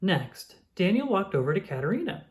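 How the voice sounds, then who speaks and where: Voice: mid-range voice, big pitch swings, brisk. A storybook reader narrating expressively for young children. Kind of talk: expressive scripted read-aloud narration.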